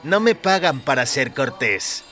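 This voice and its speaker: A man's voice: mid-range voice, very animated, a little slow, pushed and bright, soft background music, voice pushed up. A man voicing a cruel, petty circus ticket seller with a curt, insolent, menacing manner in an audio story. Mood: smug, spiteful. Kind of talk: dramatised short-story dialogue.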